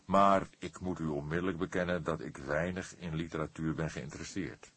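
Audiobook part, voiced by a man: elderly male detective, wry and ponderous, deep husky voice, politely modest. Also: steady tone, a bit bright, no echo, full character voice. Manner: slow, deliberate, drily modest. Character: old grey-haired male police detective, wry, courteous, ponderous. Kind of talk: Dutch audiobook character voice.

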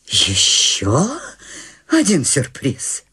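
An eighty-year-old lady, serene and whimsical, in a radio drama. She speaks slowly and with gentle amusement. Music plays softly behind her. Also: low voice, full character voice. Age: 80s. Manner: calm, gently amused, unhurried. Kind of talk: radio play acting.

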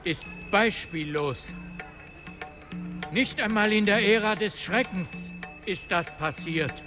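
A man's voice: high-pitched, takes it slowly. An actor playing a solemn male seer in a fantasy radio drama, speaking in an ominous, prophetic manner. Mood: foreboding. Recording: music behind the voice.

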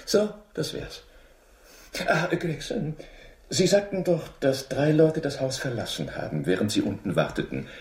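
An actor playing a brisk, self-assured, sly master detective in a radio drama, with clipped, theatrically casual delivery. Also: male voice, medium pitch, lively intonation, a little mellow, lightly acted. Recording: echoey room in radio play.

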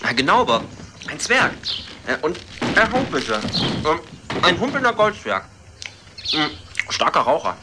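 A man playing a pompous, scatterbrained boy detective who deduces grandly and haltingly in an amateur parody radio play. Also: on the high side, huge pitch swings. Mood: excited deduction. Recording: noisy amateur recording.

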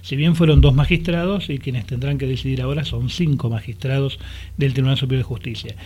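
A man with a porteño accent from Buenos Aires, a lawyer who is a guest on a radio interview, explains things at a relaxed pace with many fillers and restarts. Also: mid-range voice, brisk, slightly rough. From Argentina. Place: radio studio.

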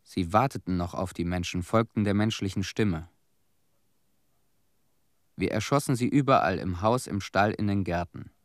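Haunted male cleanup veteran, low voice, somber measured testimony, dry studio radio play. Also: no echo. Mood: haunted, sorrowful. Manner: somber, measured storytelling in short phrases. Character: haunted Chernobyl cleanup veteran, a man bearing witness.

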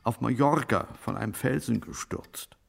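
A man's voice: mid-range voice, normal speed. An actor playing an old father who dryly reminisces about the war in a naturalistic radio drama. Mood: dry, reminiscing. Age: older. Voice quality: creaky. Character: old German father, wartime auxiliary policeman, dryly reminiscing.

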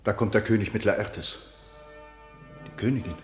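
Man playing a grave, very deep-voiced loyal companion. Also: fairly even intonation, moderate pace, natural voice. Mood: grave, concerned. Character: loyal, concerned companion of a prince. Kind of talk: classic radio play acting of stage tragedy. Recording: roomy echo with faint music behind.

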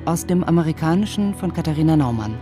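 A woman announcing radio play credits in a scripted, measured reading of short separate phrases. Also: low-pitched, animated. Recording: music bed under the voice.

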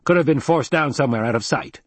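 A man's voice: medium pitch, quick pace, husky, dry recording, voice pushed up, natural voice. A man voicing a practical desert expert in an audiobook, giving brief, factual answers. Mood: matter-of-fact.

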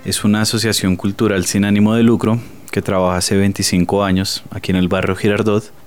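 A man's voice: on the low side, level tone, fairly quick. A man with a Colombian accent explaining his community theatre group's history in an earnest interview style. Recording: loud guitar music behind.

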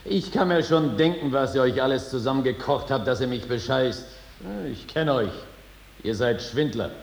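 An actor playing an earthy, sly rogue judge in a 1950s German radio drama, questioning bluntly in colloquial speech. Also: male voice, medium pitch, moderate pace, natural voice. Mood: brusque, mocking.

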